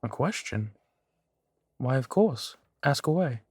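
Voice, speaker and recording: Man playing a slow-talking, genteel old vampire duke. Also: mid-range voice, lively intonation, breathy edge, no echo. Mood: indulgent. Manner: unhurried, theatrical, savoring pauses with soft chuckles. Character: centuries-old male vampire duke, genteel, amused, doting host. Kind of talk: intimate roleplay audio drama.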